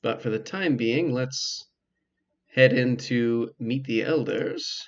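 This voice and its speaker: A man's voice: medium pitch, slow. A man giving casual, hesitant commentary as he plays a video game, thinking aloud. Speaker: gameplay video host. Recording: slightly dull lo-fi mic, clicking.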